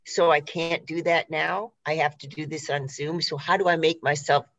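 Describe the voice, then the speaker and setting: A woman's voice: deep voice, fairly even intonation, moderate pace, a bit bright. Woman hosting a conversational panel. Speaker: host. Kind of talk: conversational interviewing.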